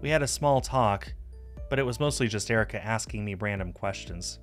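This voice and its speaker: A man's voice: fairly low pitch, expressive, fairly quick, soft background music. A man streaming a game and narrating its visual novel text aloud.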